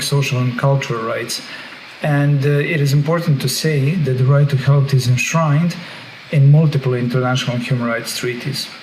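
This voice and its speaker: A man's voice: medium pitch, a little mellow. Man giving a formal remote lecture. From Serbia. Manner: measured, formal, methodical. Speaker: guest lecturer, doctoral researcher. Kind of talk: prepared academic lecture. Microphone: joining by video call. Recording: echoey call audio with faint noise.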